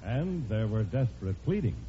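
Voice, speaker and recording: A man's voice: lowish voice, sing-song, normal speed, loud music behind the voice. A man delivering scripted narration as an announcer in vintage radio style. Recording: muffled old radio recording.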